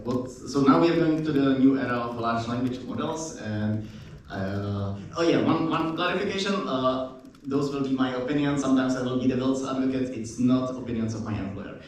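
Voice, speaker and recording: A man's voice: mid-range voice, a little hiss or hum. Man speaking with hesitation in an echoey hall. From Czech Republic. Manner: hesitant, filler-heavy, slightly halting English. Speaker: panelist, researcher. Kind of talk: opening remarks on a panel. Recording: very echoey room, distant mic.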